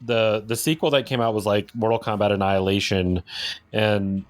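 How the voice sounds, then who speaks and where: A man's voice: on the low side, fairly even intonation, normal speed. A man co-hosting a podcast, chatting casually and informally about games. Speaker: podcast co-host. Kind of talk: casual gaming chat.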